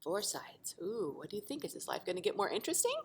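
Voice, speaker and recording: A woman teacher reading a storybook expressively to children, pausing to explain simply and ask questions. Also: medium pitch, background noise. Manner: animated, asks the listeners questions.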